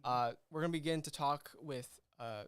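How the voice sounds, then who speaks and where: Clear, dry-sounding male stream host. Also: fairly high pitch, moderate pace, no room sound. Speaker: livestream host. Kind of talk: casual livestream chat.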